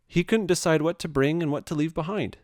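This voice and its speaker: A man reading a children's story aloud with expressive narration. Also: on the high side, a little fast, no echo, studio quality. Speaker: storybook reader.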